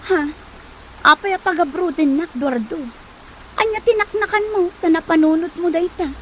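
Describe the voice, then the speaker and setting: An actress playing a village wife who questions her husband's plans, speaking with an Ilocano accent in a lively, questioning way. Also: extremely high pitch, moderate pace, slight background noise. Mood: puzzled. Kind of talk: comedy drama acting.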